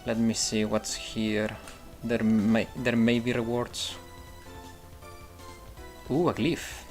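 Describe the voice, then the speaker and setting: Man, casual streamer talk, thinks aloud, middle pitch. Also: level tone, slow, soft background music. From Italy. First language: Italian. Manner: rambling, thinks aloud with restarts and fillers. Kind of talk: casual gameplay commentary and chat replies.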